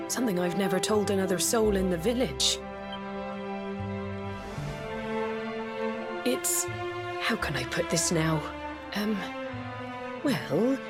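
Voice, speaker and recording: Woman acting a lively, homely British village mother. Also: mid-range voice, natural voice. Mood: surprised, hesitant. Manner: homely, chatty, exclamatory. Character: doting, homely middle-aged village mother, fussy and wry. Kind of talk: scripted video game voice acting. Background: music.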